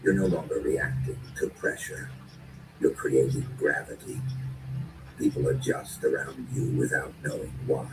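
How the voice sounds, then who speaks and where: A man performing dark, dramatic spoken-word narration in short, deliberate and menacing phrases, as a narrator. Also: extremely low pitch, echoey room, music in the background. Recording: distant, noisy lo-fi recording.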